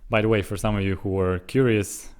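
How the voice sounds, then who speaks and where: A young man from Switzerland who grew up speaking English and Russian, vlogging casually and working slowly and carefully through hard foreign words between chatty, musing asides. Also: lowish voice, fairly even intonation, clean tone. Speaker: language-learning vlogger. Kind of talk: casual solo vlog.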